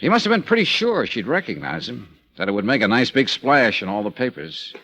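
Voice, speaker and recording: An actor playing a hard-boiled insurance investigator in a vintage radio drama, wry, terse and probing. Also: male voice, medium pitch, sing-song, brisk, fairly bright tone. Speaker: narrator and investigator. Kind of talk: 1950s radio drama acting.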